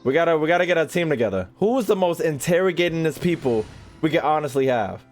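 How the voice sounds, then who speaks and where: A man's voice: fairly high pitch, expressive, fast, faint music behind. A young American man giving casual, joking, slangy gameplay commentary as a streamer talking to his chat. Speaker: gaming streamer.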